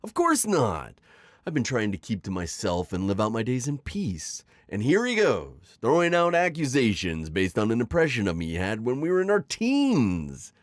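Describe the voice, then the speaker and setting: A man's voice: mid-range voice, huge pitch swings, normal speed, no echo, studio quality. A man playing a sly, teasing, middle-aged retired magical villain in an ASMR roleplay, theatrical and coaxing in his delivery. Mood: sly, amused. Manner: theatrical, teasing, manipulative coaxing with sighs and chuckles. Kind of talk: scripted ASMR roleplay acting.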